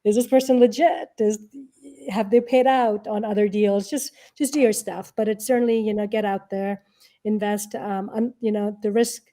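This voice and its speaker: A woman speaks as an interview guest in an informal, conversational way. Her voice is mellow and soft-edged, at an ordinary pitch for a woman. She tends to explain things by posing questions. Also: fairly even intonation, moderate pace. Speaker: interview guest, real estate and angel investor.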